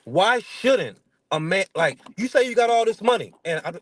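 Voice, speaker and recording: A man's voice: high-pitched, big pitch swings, moderate pace, a bit bright, no echo. A man in his thirties with a stutter, chatting casually in a blunt, slangy, emphatic way as he tells his stories. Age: 30s. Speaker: interview guest. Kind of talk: casual chat, animated storytelling.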